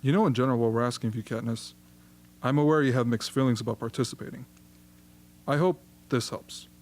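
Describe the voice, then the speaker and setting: A man playing a genial, flattering propaganda chief in a radio play, chatty and smoothly persuasive. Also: mid-range voice, fast, natural voice. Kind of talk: amateur radio drama acting.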